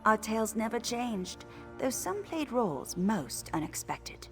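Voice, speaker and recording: An actress playing a solemn, cryptic elven seer and storyteller in a video game. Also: female voice, fairly high pitch, big pitch swings, a little slow, a bit bright, faint music behind. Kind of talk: video game quest dialogue.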